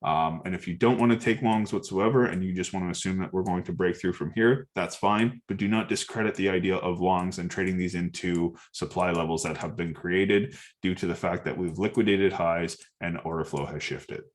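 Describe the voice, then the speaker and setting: A man's voice: deep voice, fairly even intonation, fairly quick, hardly any pauses. A young man casually walking through chart setups for his trading community, loose and profane, with lots of fillers and restarts. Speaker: trading educator. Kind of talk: casual screen-share market breakdown.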